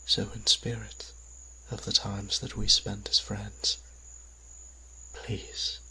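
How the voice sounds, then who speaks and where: A man playing an anguished, devout, centuries-old vampire priest in an audio drama, speaking in a breathy, halting, pleading way. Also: lowish voice, flat delivery, pushed and bright, a little hiss or hum, heavily acted, poor audio. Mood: bitter, sorrowful. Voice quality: breathy, husky, often near whisper. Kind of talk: dramatic audio roleplay acting.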